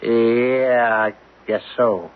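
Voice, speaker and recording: An actor in a 1970s radio drama, playing a reluctant, evasive oil rig worker who is terse, deferential and guarded. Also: male voice, medium pitch, very slow. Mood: guarded, subdued. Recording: tinny narrowband 1970s radio recording.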